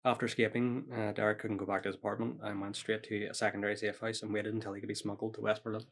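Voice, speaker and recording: Male podcast storyteller, low-pitched, quick pace, casual delivery of prepared material. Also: little pitch movement, a bit bright. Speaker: podcast host telling true-or-false stories.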